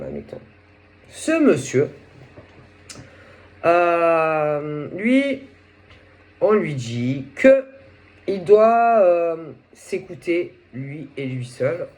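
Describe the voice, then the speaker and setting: Hoarse woman with a cold doing a tarot reading, really slow, keeps trailing off, voice goes up and down a lot. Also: sits low. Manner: halting, searching for words, trailing off. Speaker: tarot reader. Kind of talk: casual tarot reading to camera.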